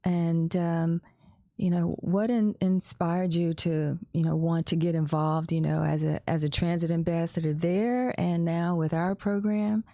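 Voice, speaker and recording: Woman host, very low mellow voice, hesitant questions, dry mic. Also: steady tone, no room sound. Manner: warm affirmations, halting questions with fillers. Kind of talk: casual interview chat.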